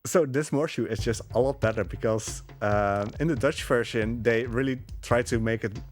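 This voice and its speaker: A man giving casual live speedrun commentary in a halting, rambling, amused way. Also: medium pitch. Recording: game music behind the voice. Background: game music.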